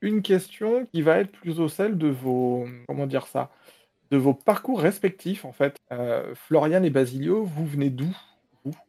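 A man's voice: on the high side, lively intonation, unhurried, mellow. A young man hosting a podcast interview, asking long questions and rephrasing them with restarts. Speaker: podcast host.

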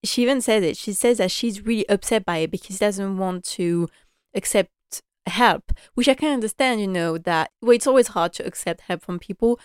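A woman's voice: medium pitch, moderate pace, darkish tone, clear, resonant tone, dry recording, studio quality. Chatty, enthusiastic woman recapping episodes. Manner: chatty and enthusiastic, many "like" and "you know" fillers, laughs often. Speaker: podcast host recapping a TV drama.

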